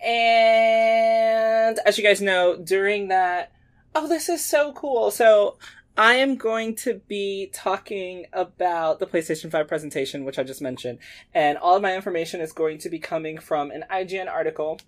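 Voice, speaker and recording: A man hosting a gaming podcast, talking in a casual, upbeat and excitable way. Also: sits high, moderate pace. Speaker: podcast host.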